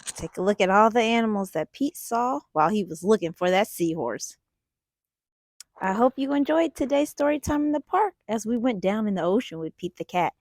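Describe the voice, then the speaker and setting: A woman's voice: medium pitch, animated, normal speed, no echo, clean studio sound. A woman hosting a children's storytime, reading a picture book aloud expressively and putting questions to young listeners. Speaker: children's storytime host and reader.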